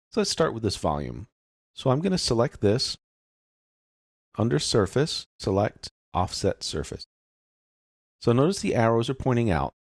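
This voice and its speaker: Very deep-voiced man teaching software. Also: gravelly, no room sound, studio quality. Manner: explanatory, methodical, unscripted. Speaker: software tutorial instructor. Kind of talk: step-by-step screen tutorial narration, informal.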